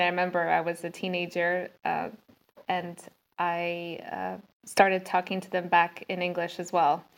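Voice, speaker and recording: A woman who grew up in Singapore answers interview questions at an easy pace. Her voice sits on the low side and stays fairly flat in pitch. She thinks aloud, with plenty of fillers and restarts. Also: low-quality recording. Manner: thoughtful, with frequent fillers and restarts. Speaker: school owner, guest. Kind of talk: casual interview.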